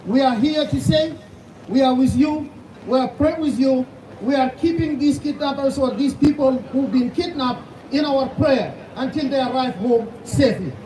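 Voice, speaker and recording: A Sudanese-accented man in his thirties delivers a passionate speech at an outdoor rally, his voice pitched very high and firing off rhetorical questions. He is heard from far away through echoing outdoor sound. Heavy noise surrounds him. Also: normal speed. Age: 30s. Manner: fervent, hammering rhetorical questions, at times shouting. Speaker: pastor speaking at a rally. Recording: distant, echoey outdoor sound with loud background noise.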